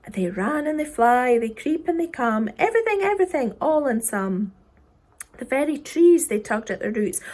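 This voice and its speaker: A woman reading a children's poem expressively, with a theatrical manner that dips into hushed tones. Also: high voice. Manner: theatrical, dropping into hushed whispers. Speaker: story reader.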